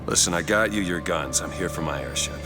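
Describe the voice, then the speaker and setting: A blunt, weary former detective in a video game. His voice sits very deep and sounds gruff. Game music runs underneath. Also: expressive, a little fast. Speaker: game protagonist.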